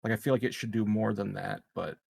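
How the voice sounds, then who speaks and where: A man's voice: fairly low pitch, steady tone, quick pace, creaky, a little mellow, husky, no room sound, studio quality. A man playing a tabletop game, rambling in casual chat with lots of "like" and "you know" and some swearing. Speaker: player.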